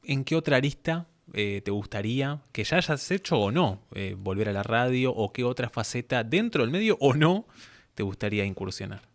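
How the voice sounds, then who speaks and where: A man's voice: mid-range voice, big pitch swings, normal speed, no echo. A man, asking questions as the host of a casual interview.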